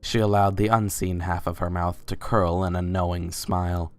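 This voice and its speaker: A man's voice: low voice, level tone, moderate pace, a little mellow, clean tone. A man reading scripted story narration in a dramatic reading. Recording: music under the voice. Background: background music.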